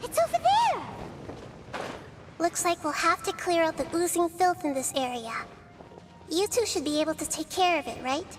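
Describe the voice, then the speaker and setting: Really high little girl goddess, calm n wise, game character, music playing behind. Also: moderate pace, pauses often. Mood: focused. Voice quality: childlike. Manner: calm, reassuring. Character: gentle, wise child goddess. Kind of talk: video game voice acting.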